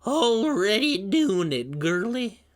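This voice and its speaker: A man voicing a sinister old backwoods captor in a horror story, with a slow, drawling, menacing mumble. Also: high voice, sing-song, voice pushed up, full character voice. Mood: cold, menacing. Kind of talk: storybook character voice in horror narration.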